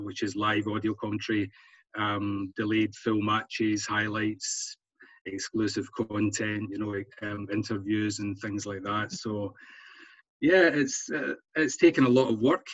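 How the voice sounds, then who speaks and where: A man's voice: lowish voice, no room sound. A man giving an informal, stop-start explanation as a club representative over a lo-fi video call. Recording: dull, lo-fi video call, dry.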